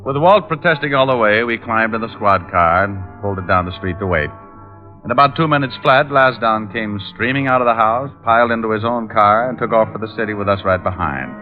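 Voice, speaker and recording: A man's voice: lowish voice, a little fast, faint music behind, close to an everyday voice. An actor playing a cool, wry male private detective in an old-time radio drama, breezy and probing. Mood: brisk narrating. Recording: muffled vintage radio recording.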